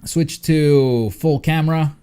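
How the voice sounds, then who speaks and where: A man's voice: fairly high pitch, fairly even intonation, slow pace, warm tone, clear, resonant tone. A man hosting a livestream, chatting casually about a game in a wry, joking manner. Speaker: livestream host. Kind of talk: casual gaming stream commentary.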